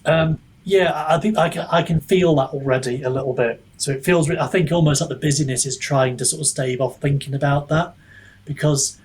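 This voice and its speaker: Husky-voiced man, musing and hesitant. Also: fairly high pitch, a little fast, darkish tone. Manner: hesitant, musing, self-deprecating, wandering mid-thought. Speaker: author being interviewed. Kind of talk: casual reflective podcast chat.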